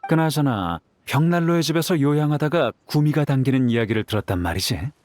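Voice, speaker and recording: A man's voice: mid-range voice, animated, brisk, darkish tone, natural voice. Breezy, confident young warrior, played by a man. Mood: friendly, candid. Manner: casual, easygoing. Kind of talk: anime game voice acting.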